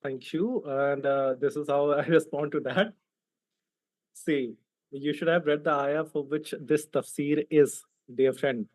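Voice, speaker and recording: A man's voice: a little high, steady tone, unhurried, a little mellow, no echo, poor audio. A man with an Indian accent, speaking English as a non-native language, argues confrontationally in a debate and reads out texts. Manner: confrontational, rhetorical questions. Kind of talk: argumentative debate, reading out texts.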